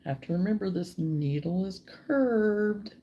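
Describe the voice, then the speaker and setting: A woman hosting a crochet live stream, chatting casually with viewers while she works, her voice still hoarse and froggy from a cold. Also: mid-range voice, unhurried, slight background noise. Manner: relaxed and chatty, mutters to herself while working.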